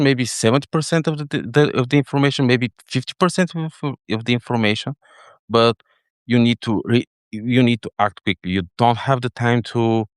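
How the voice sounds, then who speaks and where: A middle-aged man from Bulgaria with a Bulgarian accent, explaining things casually as an interview guest, thinking aloud in halting phrases. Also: mid-range voice, clear, resonant tone, no room sound, clean studio sound. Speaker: interview guest, head of data engineering.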